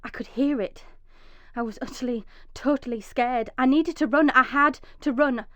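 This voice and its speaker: A woman's voice: high-pitched, moderate pace, fairly bright tone, no echo. A woman playing an anxious, loving young wife and mother in a radio drama. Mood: frightened, tense narration. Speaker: actress and narrator in a radio drama. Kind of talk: radio drama acting, dramatic first-person narration.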